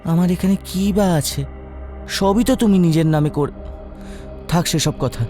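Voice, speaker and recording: A man's voice: sits high, unhurried, warm tone, soft background music. A man playing a downtrodden, resigned poor younger brother in a cartoon, speaking meekly and sorrowfully.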